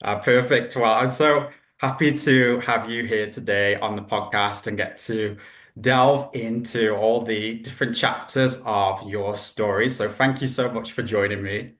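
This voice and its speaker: A man's voice: fairly low pitch, fairly even intonation, a little slow, a bit bright. Upbeat male podcast host, echoey room. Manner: upbeat, enthusiastic, uses "delve" and "yeah". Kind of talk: casual interview hosting.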